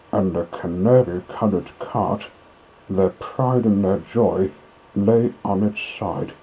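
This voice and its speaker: Slow, husky man reading a story. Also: low-pitched, dark tone, rough recording. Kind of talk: dramatic audiobook narration of a children's classic.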